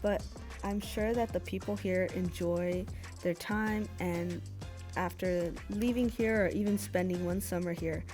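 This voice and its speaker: A woman's voice: lowish voice, steady tone, a little slow, darkish tone, faint music behind. A woman giving reflective answers in an interview.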